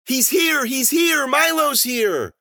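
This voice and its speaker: A woman's voice: high-pitched, a little slow, sharp tone, no echo, clean studio sound, voice pushed up. A man voicing a childlike, murderous madwoman who cries out and chants in singsong rhymes for an audio story. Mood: manic, menacing. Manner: singsong rhyming, crying out.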